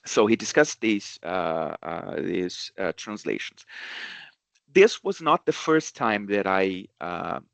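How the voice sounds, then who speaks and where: A man gives an academic seminar talk in English, his voice low and heard dry and close. He pauses often, filling gaps with "uh" and starting phrases over as he works through his points. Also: animated, vocal fry, fairly bright tone, no room sound. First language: Portuguese. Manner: hesitant, many fillers and restarts. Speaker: research seminar speaker.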